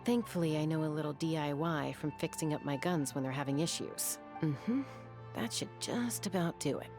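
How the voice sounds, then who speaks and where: A woman's voice: low-pitched, over music. An actress playing a cool, self-assured young woman gunslinger in a video game, speaking composedly and matter-of-factly. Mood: assured, analytical. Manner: composed, matter-of-fact, deliberate.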